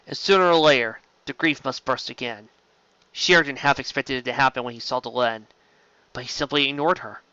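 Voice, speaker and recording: Bright, forceful male story narrator. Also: mid-range voice, clean tone, dry recording. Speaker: fan fiction reader. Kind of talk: dramatic scripted narration. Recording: slightly dull, close dry mic.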